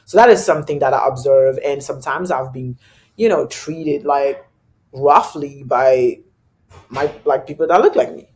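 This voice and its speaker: A speaker with a thick French accent, a European upbringing clear in the voice. The talk is casual and animated, full of "you know" and quick asides, at an everyday middle pitch. It sounds like a relaxed podcast conversation. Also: a little hiss or hum. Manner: animated storytelling with frequent "you know" fillers. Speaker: podcast guest.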